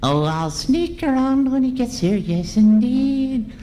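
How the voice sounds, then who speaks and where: A man's voice: extremely high pitch, animated, slow, over loud music, full character voice. A man voicing a cheeky, wisecracking boy ventriloquist dummy in an old-time radio comedy act. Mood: cheeky, excited. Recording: noisy live ballroom stage recording.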